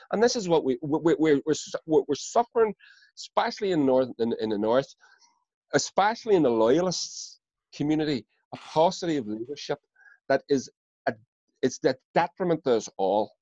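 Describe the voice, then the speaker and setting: A man's voice: a little high, big pitch swings, a little fast, dark tone, no echo. A Northern Irish man talks politics in casual chat, swearing freely and tripping over repeated words when worked up. The sound is close and dry, slightly dull on top. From Northern Ireland.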